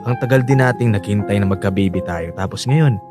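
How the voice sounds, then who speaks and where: Easygoing young Filipino husband, acted by a man, low-pitched. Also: fairly quick, close to an everyday voice. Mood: tender. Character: doting, easygoing young husband and expectant father. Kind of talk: Filipino radio drama acting. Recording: music behind the voice.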